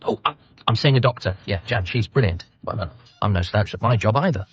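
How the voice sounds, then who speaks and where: A man's voice: fairly low pitch, expressive, fairly quick, husky, no echo, muffled recording. An actor playing an anxious, self-deprecating British doctor grieving his father, narrating a radio comedy drama in a hesitant, wry way. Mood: defensive, earnest. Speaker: narrator and lead character.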